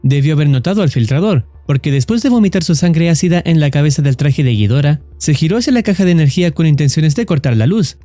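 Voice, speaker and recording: A man's voice: on the high side, lively intonation, quick pace, hardly any pauses, warm tone, no room sound. A man reading scripted narration as the narrator of a horror story recap video. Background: background music.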